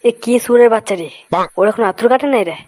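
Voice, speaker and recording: A woman's voice: on the high side, fast talker, warm tone, natural voice. A woman voicing a grumpy, foul-mouthed village father in a comic cartoon, talking in blunt, scolding slang. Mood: exasperated. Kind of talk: comic Bengali cartoon dubbing.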